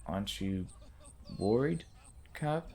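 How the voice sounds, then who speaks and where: A man's voice: on the low side, expressive, takes it slowly, vocal fry, darkish tone, heavily acted. An actor playing a worried soldier who briefs his captain in an audio drama.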